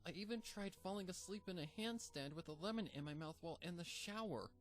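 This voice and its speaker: A frantic pony girl who hasn't slept in days, her voice pitched well up and straining with despair, as a male reader puts her on. She pleads in high, quivering bursts. Faint music runs under the storybook reading. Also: brisk, close to an everyday voice. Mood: desperate. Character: frantic, sleepless young female pony.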